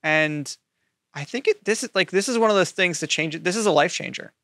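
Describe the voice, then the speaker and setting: A man co-hosting a podcast, chatting casually and earnestly, with halting, repeated phrases. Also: high voice, sharp tone, dry recording, clean studio sound. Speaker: podcast co-host and editor.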